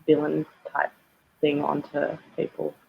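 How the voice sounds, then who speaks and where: A woman on a festival panel speaking in a halting way, correcting herself as she goes. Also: low-pitched, monotone, gravelly, dull audio, slight background noise. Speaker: panelist, writer. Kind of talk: panel discussion.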